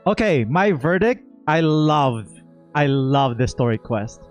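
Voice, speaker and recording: A man with a slightly high voice chats casually as a game streamer. He is expressive and leans on repeated words for emphasis, with music playing behind him. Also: takes it slowly. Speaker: gaming streamer. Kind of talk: casual livestream game reaction.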